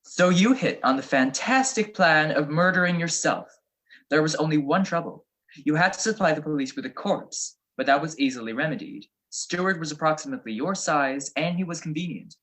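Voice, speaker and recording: An actor playing a self-assured, witty private detective, wry and confident, in an old-time radio drama style. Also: male voice, a little high, animated, normal speed, a bit bright, poor audio. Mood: cool, confident. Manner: wry, explaining deductions with cool confidence.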